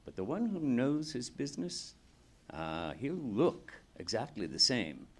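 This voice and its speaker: A man's voice: mid-range voice, very animated, a little slow, slightly rough, full character voice. A man playing a hearty, shrewd British police inspector in a live radio play, talking in an expansive, knowing way. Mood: knowing, explanatory. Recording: live theatre stage, faint noise.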